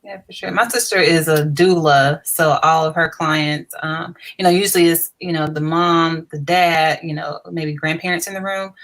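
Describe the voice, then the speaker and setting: Low-voiced relaxed woman filmmaker. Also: normal speed, few pauses. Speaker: filmmaker guest. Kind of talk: casual chat.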